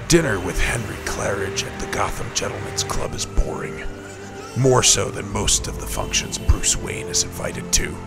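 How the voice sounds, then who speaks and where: A man's voice: sing-song, moderate pace, music in the background. A man voicing a stern, brooding male vigilante in a comic-book audio drama, with terse, controlled delivery. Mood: brooding, disdainful. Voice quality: low, gravelly.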